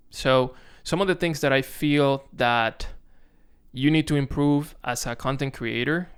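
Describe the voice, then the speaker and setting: A man's voice: mid-range voice, clean tone. A young man from the Dominican Republic with a Dominican Spanish accent, talking casually and self-consciously to the camera as a content creator, with frequent restarts. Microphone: camera on tripod.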